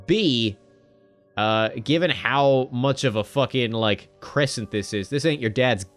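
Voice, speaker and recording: A man at a middle pitch hosts a casual let's-play, reading game text aloud between irreverent jokes. He swears offhandedly and now and then jumps into loud, high-pitched outbursts, with soft music playing behind him. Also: unhurried. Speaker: gaming video host.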